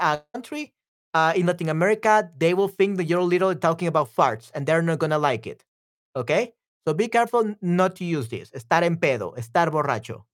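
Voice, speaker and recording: Chatty Spanish tutor with Salvadoran accent. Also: medium pitch. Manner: chatty, repeats words slowly, often asks "okay?". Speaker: Spanish teacher and voice actor. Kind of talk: casual language lesson. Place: room with some echo.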